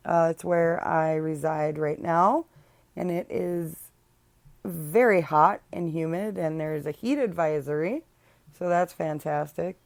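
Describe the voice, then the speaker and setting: Slow-talking chatty woman podcaster. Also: fairly low pitch, no echo. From Illinois. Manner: chatty and blunt, many ums, sarcastic asides. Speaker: podcast host. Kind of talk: casual solo podcast chat.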